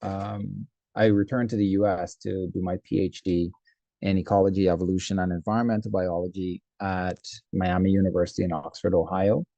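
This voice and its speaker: A man with a Bahamian accent speaking as an expert guest in a radio interview, measured and formal. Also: deep voice, level tone, mellow, no echo, lo-fi sound. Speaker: guest, marine biologist and sustainability coordinator. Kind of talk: radio interview, recounting career background.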